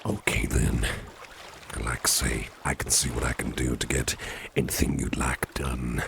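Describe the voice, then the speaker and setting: A man playing a genial, attentive boss in an ASMR roleplay, unhurried and coaxing, often repeating his phrases. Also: extremely low pitch, flat delivery, a bit bright, full character voice. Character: genial, attentive male boss, courting his dinner date. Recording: clearly noisy background.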